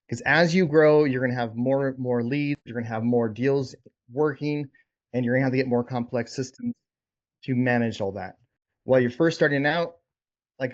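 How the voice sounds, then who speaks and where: A man hosting a casual group coaching session over a video call, informal and encouraging as he checks in with participants. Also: mid-range voice. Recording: dull, lo-fi video call audio.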